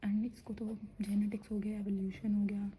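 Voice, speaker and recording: Young woman, hushed whisper, sore throat, flat even delivery, faint room hum. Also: mid-range voice, moderate pace. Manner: hushed whispering, mixing Hindi and English. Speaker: student preparing for a medical entrance exam. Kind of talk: whispered study vlog talk.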